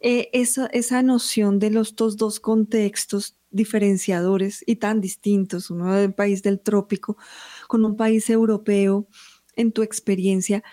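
A woman's voice: medium pitch, level tone, unhurried, dark tone. A woman interviewing a writer, asking long, rambling questions and often ending her phrases with a tag question.

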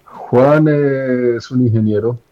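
A man in his thirties with a Bogotá Colombian accent, a radio guest who tells his story in a relaxed way and slows for emphasis. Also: medium pitch. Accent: Bogotá Colombian Spanish. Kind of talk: radio interview. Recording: dull lo-fi line.

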